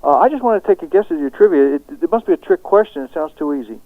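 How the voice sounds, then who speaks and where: Fast-talking male caller on dull phone line. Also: a little high, lively intonation, no room sound. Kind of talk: casual call-in chat.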